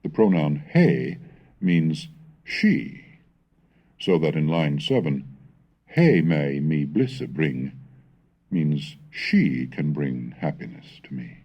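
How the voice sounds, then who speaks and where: A scholarly man with a low, dark voice narrates an educational cassette from the 1970s. His delivery is unhurried and husky, with wide, expressive swings in pitch. He sounds like a measured lecturer who relishes reciting antique verse. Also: lo-fi sound. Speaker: reader and lecturer on English language history. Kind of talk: scripted educational narration with dramatic recitation of Middle English texts.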